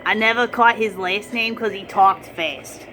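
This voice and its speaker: A woman's voice: medium pitch, a little slow, background noise, close to an everyday voice. A woman playing a chatty, easily distracted, argumentative grandmother on a prank phone call, drawing out her words for emphasis. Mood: evasive, innocent. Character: chatty, defiant, easily distracted grandmother, swooning over TV men.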